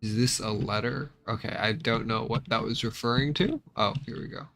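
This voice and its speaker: A man's voice: mid-range voice, normal speed, gravelly, fairly bright tone. Offhand man doing casual game commentary. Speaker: gaming channel host. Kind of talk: casual let's play commentary. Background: faint music.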